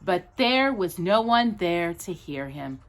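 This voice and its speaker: A woman reading a children's storybook aloud as a storytime host, in an expressive, drawn-out, playful manner. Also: on the low side. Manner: animated, drawn-out delivery with playful asides to a child.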